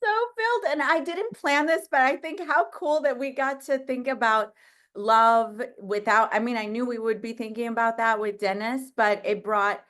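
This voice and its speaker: A woman hosts a casual video call. She has a loud voice and an effusive manner, often trailing off and restarting her sentences. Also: a little high, moderate pace. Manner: effusive, hesitant, with many restarts. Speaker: host. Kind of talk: casual hosting chat.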